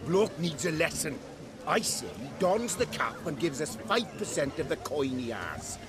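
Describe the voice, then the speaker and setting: An actor in a video game playing a rowdy, bullying gambler at a country wedding, blunt and colloquial. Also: male voice, on the high side, sing-song, unhurried, loud music behind the voice. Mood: grumbling.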